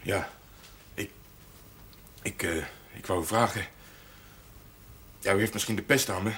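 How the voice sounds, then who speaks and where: An actor playing an earnest, awkward young farmhand in a television drama, speaking in a hesitant, apologetic manner. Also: male voice, deep voice, a little slow, fairly bright tone, slight background noise. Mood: hesitant, uneasy. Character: earnest, awkward young farmhand asking for his job back. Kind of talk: naturalistic television drama dialogue.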